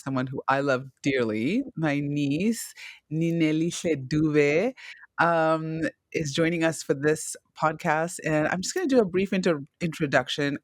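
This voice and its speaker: A woman's voice: sits low, dry recording, clean studio sound. Woman hosting a podcast. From Zimbabwe. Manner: chatty, affectionate, reads intro aloud. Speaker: podcast host. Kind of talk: casual podcast interview.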